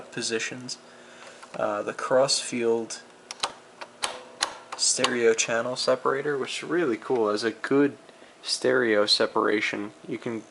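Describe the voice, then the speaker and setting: A man giving a casual, unscripted demonstration of vintage audio gear, with a gravelly voice and a rambling, halting way of explaining. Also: medium pitch, a bit bright, rough recording. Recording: amateur camera recording with background noise.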